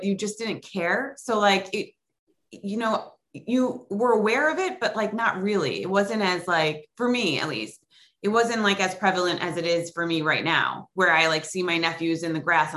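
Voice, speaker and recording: A woman's voice: medium pitch, bright, forceful tone. A middle-aged woman from Long Island, chatting as a podcast guest over a remote call, with lots of likes and ums. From Long Island, New York. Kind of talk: casual interview chat.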